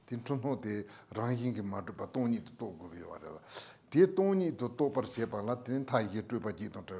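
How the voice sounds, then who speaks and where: A man giving an unhurried Buddhist Dharma teaching in Tibetan, laughing now and then between points. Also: fairly low pitch, expressive, creaky, dark tone.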